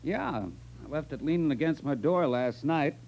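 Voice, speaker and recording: A plain village carpenter in 1950s radio comedy. He is laconic and folksy, with a slightly high, very mobile voice. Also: animated, normal speed, muffled recording. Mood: matter-of-fact.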